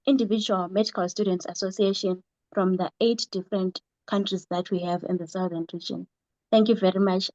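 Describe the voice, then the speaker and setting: A woman's voice: medium pitch, warm tone, dry recording, lo-fi sound. A young woman with a Zimbabwean accent giving a formal, point-by-point presentation as a student coordinator on an online call. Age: young adult. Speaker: regional coordinator of a student association. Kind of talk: prepared presentation on an online meeting. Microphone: online call microphone.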